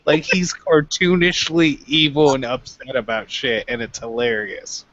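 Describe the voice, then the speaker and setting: A man's voice: mid-range voice, steady tone, unhurried, fairly bright tone, no room sound, muffled recording. A man chatting casually as a co-host, informal and given to some swearing. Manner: informal, mildly profane. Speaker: review show co-host. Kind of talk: casual chat.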